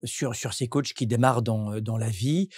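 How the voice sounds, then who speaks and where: A man's voice: mid-range voice, moderate pace, breathy edge, no echo, clean studio sound. A man from France, a coach and entrepreneur, answering interview questions in a reflective, explanatory way with frequent restarts.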